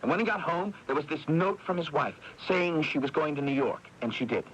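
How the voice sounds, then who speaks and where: An actor playing a guilt-ridden, agitated brother in a 1980s radio drama, halting and repeating himself. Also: male voice, on the high side, animated, fairly bright tone, muffled recording. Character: anguished, guilt-ridden brother with a fierce temper. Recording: noisy 1980s AM radio broadcast.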